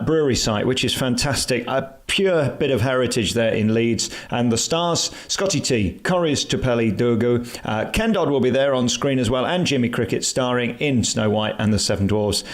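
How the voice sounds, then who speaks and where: A man's voice: medium pitch, moderate pace, few pauses. A man interviewing a guest, keen and full of praise as he chats. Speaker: radio interviewer. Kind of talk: casual interview chat.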